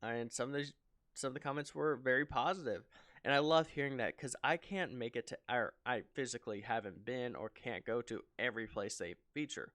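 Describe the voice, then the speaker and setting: A man casually commenting on a flight simulator showcase in a relaxed, rambling way, full of ums, his voice slightly raspy. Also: mid-range voice, fairly quick, dry recording, clean studio sound. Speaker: flight sim video host.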